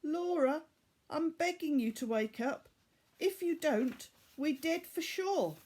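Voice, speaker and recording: A woman's voice: high-pitched, expressive, takes it slowly, darkish tone, pitched above the performer's own voice. A woman voicing a kind, remorseful captive boy in a children's audiobook, earnest and pleading in a formal way. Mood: urgent.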